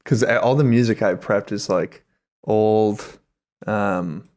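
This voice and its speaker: A man's voice: lowish voice, slow pace, darkish tone. A man co-hosting a comedy podcast, chatting in an informal, joking way. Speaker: podcast co-host providing music. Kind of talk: casual comedic chat.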